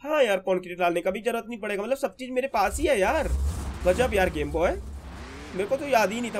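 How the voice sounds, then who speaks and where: A hoarse young man with a sore throat, chatting casually as he narrates his gameplay to his viewers. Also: high voice, a little hiss or hum. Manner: chatty, keeps calling viewers brother. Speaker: gaming channel host.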